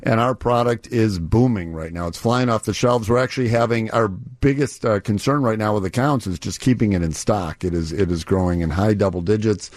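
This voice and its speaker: A man's voice: on the low side, fairly quick, hardly any pauses, no room sound. A middle-aged man, a company executive, explaining his business earnestly in a conversational radio interview.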